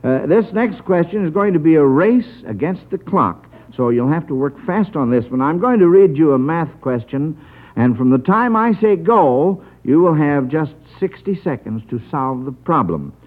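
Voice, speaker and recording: An animated man hosts an old radio quiz show with a slightly high voice and swooping intonation. He is genial and halting in his phrasing, and the narrow, tinny broadcast sound marks it as vintage radio. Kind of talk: live 1950 radio quiz show hosting.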